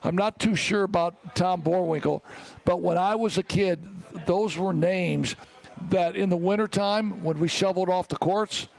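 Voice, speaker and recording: Middle aged american guy doing sports talk, gravelly fry, kinda high voice, rambles and repeats himself. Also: normal speed, a little mellow, poor audio. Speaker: sports show host. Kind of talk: casual sports chat.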